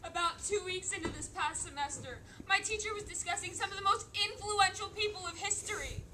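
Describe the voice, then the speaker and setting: A woman with a very high voice recites a script dramatically. It is a live recording with clear background noise. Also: fairly quick. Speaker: readers theater performer.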